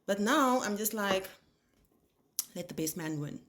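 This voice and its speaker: A woman's voice: mid-range voice, unhurried. A young woman from Nigeria with a Nigerian English accent, whose first language is Igbo, talks casually to the camera in her kitchen, telling stories and imitating how words are pronounced. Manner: lively storytelling, mimics pronunciations, rhetorical questions. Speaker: vlogger. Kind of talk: casual chatty rant to camera. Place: home kitchen while cooking.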